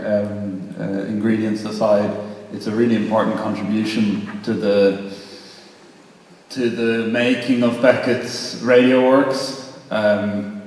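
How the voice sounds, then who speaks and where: A man giving considered closing remarks at an event, in a measured, hesitant manner. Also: on the low side, a little mellow. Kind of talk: considered spoken tribute. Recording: very echoey hall, far from mic.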